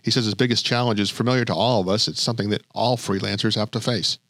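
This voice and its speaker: A man's voice: on the low side, fairly even intonation, fast, fairly bright tone, no echo. A male podcast host narrating in a light, wry storytelling way between interview clips. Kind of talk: scripted narration.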